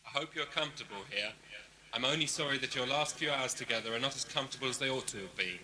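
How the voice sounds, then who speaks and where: A man's voice: mid-range voice, quick pace, sharp tone. A man playing a weary, principled prison ship commander in an audio drama, speaking in a formal, dry, authoritative way. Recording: clearly noisy 1980s cassette, background ambience.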